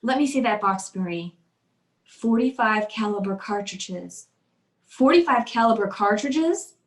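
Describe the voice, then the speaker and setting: A woman's voice: mid-range voice, lively intonation, unhurried, natural voice. A woman playing an anxious, well-to-do wife in an old-time radio drama, pleading and earnest. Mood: grave, pleading. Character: anxious wealthy wife convinced her husband wants her dead. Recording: roomy, echoey sound.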